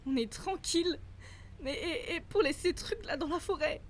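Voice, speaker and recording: Lady acting scared wife in audio drama, panicky n insistent, really high voice, slight background noise. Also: normal speed, close to an everyday voice. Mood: panicked. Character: frightened, distraught wife of a ranger.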